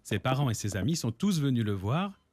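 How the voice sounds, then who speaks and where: A man narrating a cartoon in a scripted, gently ironic storytelling manner. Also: mid-range voice, very animated, fairly quick. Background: music.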